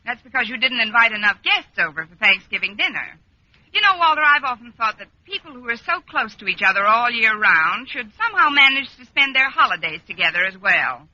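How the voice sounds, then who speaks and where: A woman playing a wry, sharp-witted schoolteacher in an old radio sitcom, with dry sardonic timing. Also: a little high, very animated, a little fast, no room sound, heavily acted. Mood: sardonic, angling. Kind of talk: 1940s-50s radio sitcom acting. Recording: muffled, hissy vintage radio recording.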